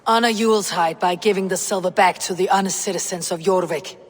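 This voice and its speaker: A woman playing a stoic, determined Viking warrior in a video game, measured and grave. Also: on the low side, big-room sound, natural voice. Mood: composed. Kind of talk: dramatic video game acting.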